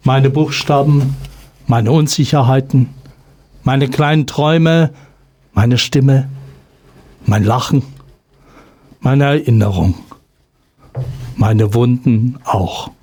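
A man recites spoken word poetry at a very slow pace in a mid-range voice. His delivery is measured, with a pause after each short phrase. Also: medium pitch, darkish tone.

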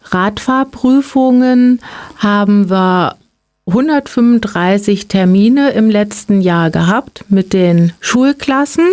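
A woman's voice: medium pitch, darkish tone. A woman in her fifties, a police road safety teacher, answering interview questions. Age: 50s.

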